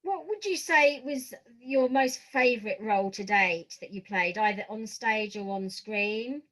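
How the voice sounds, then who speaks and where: A woman's voice: medium pitch, unhurried, a bit bright. A woman hosting a conversational podcast interview, affirming and encouraging her guest.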